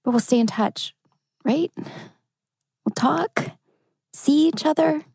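A woman's voice: high voice, slow pace, gravelly, a little mellow, dry recording, clean studio sound, heavily acted. An actress playing a tender, torn teenage girl in a table read of a TV drama. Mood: sad, earnest.

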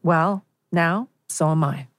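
A woman's voice: sits low, slow. A woman playing a hurt, bitter, coolly sarcastic wife in a TV drama table read.

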